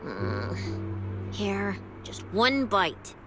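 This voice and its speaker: A woman's voice: mid-range voice, huge pitch swings, very slow, exaggerated voice. A woman voice acting a lazy, food-loving young policewoman in a video game, playful and hammy, with hesitant little hums and asides. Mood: savoring, playful. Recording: music behind the voice.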